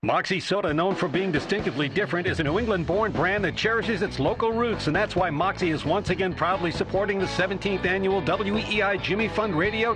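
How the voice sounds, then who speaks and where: A man reading scripted radio commercials in a polished, upbeat announcer delivery. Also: high-pitched.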